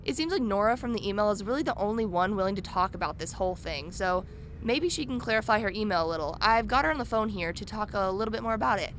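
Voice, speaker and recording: A woman acting as a curious true-crime podcaster who chats informally to her listeners. Her pitch sits in an ordinary female range, with faint noise behind her. It has the feel of a radio drama told as a podcast. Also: lively intonation, quick pace, lightly acted. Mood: chatty, intrigued. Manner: informal, chatty storytelling. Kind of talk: conversational podcast narration in a radio play.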